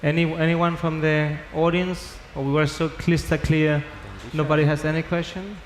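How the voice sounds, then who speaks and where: Male panel moderator, slightly higher voice, casual and joking, echoey hall. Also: level tone, moderate pace. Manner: informal, joking with the audience. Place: large conference hall. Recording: echoey hall with faint noise.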